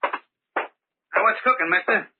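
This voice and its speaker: A man's voice: sits high, takes it slowly, noisy background. An actor playing a cocky, impatient young delivery boy with a brash, slangy manner in an old-time radio drama. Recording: muffled old radio recording.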